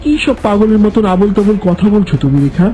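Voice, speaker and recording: A woman's voice: mid-range voice, expressive, fairly quick, mellow, soft background music, natural voice. A woman voicing a puzzled, earnest young man in a cartoon, asking questions in a confused way.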